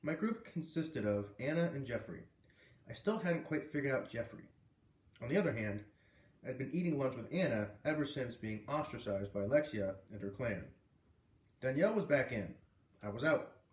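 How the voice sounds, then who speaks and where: Expressive man reading a storybook aloud. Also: medium pitch, moderate pace. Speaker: read-aloud reader. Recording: echoey room, distant microphone.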